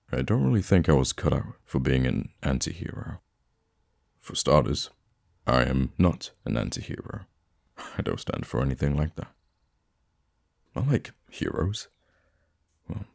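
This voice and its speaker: A man playing a theatrical, easily flustered supervillain with a soft spot for his hero nemesis, in an ASMR roleplay monologue with a gravelly voice. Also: extremely low pitch, heavily acted. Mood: confiding. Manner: dramatic, flustered, teasing, stammering when thrown off.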